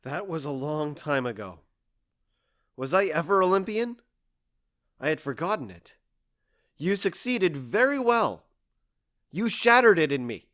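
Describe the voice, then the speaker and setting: A man's voice: fairly high pitch, very animated, measured pace, long pauses, fairly bright tone. A man playing a stoic, embittered husband in an audio drama, weary and resolute, with grave, formal speech. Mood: wounded.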